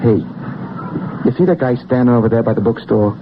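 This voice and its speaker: An American actor playing a gruff, suspicious working-class war veteran in a radio drama, talking in blunt street slang. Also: male voice, mid-range voice, fairly quick, background noise, close to an everyday voice. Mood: hostile, scornful. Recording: narrow tinny old radio broadcast, music.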